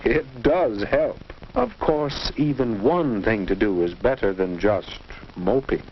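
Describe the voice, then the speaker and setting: American 1950s school film narrator, guiding n didactic, really animated delivery, muffled hissy old audio. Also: male voice, lowish voice, slow pace. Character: guiding, fatherly narrator advising a teenage boy. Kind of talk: 1950 instructional film narration. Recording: dull, noisy old film soundtrack.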